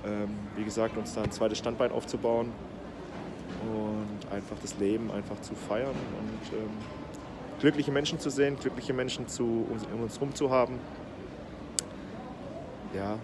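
A man in his thirties filming himself at arm's length in an airport terminal, upbeat and hesitant with many fillers. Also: lowish voice. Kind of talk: casual vlog talk to camera. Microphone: phone camera at arm's length. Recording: noisy airport ambience.

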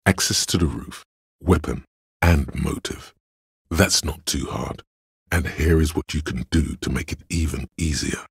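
A man voicing Logic as the calm, cerebral inner voice of a detective in a video game, measured, dry and analytical, with a raspy voice. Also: no room sound, exaggerated voice. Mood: coolly coaching. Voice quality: very deep, gravelly rasp.

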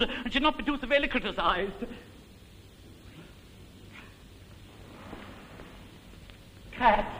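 A man's voice: very high voice, pushed and bright, exaggerated voice, poor audio. A man playing a timid, lovesick comic suitor in an operetta, pleading in patter and singing a mournful ballad. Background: orchestra.

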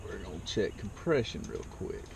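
A man in his thirties, a mechanic, talking casually and slowly while he works on an old tractor outdoors, thinking aloud. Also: deep voice, creaky. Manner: slow, relaxed, thinking aloud while working. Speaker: mechanic hosting a tractor repair channel. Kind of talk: casual hands-on workshop talk. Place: outdoors on a rural property. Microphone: camera mic, outdoors. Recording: loud outdoor wind and engine noise. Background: outdoor ambience and vehicle noise.

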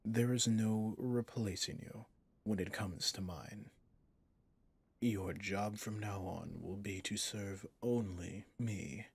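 A man playing a possessive villain boss in an intimate ASMR roleplay, speaking formally and commandingly yet indulgently, in a husky, breathy voice. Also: fairly low pitch, takes it slowly, exaggerated voice. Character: smooth possessive male villain boss, doting on favorite servant.

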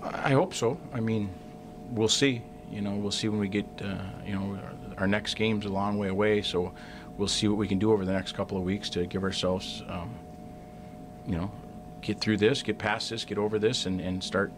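A middle-aged man, a hockey coach, answering reporters at a postgame press conference, halting and repeating words as he restarts sentences. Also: fairly low pitch, fairly even intonation, noisy background. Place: press room in front of a sponsor backdrop. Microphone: desk mic on a stand.

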